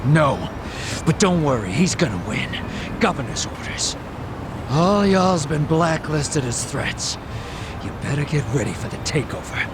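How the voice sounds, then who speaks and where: A man's voice: on the high side, very animated, a little slow, background noise. A man playing a crude, taunting gangster thug in a video game. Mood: smug, threatening. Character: cocky vengeful gangster thug, crude and menacing.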